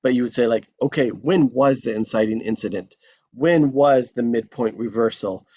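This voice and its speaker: A man's voice: medium pitch, moderate pace, dark tone. A man in a casual discussion after a table read, thinking aloud with many fillers and restarts.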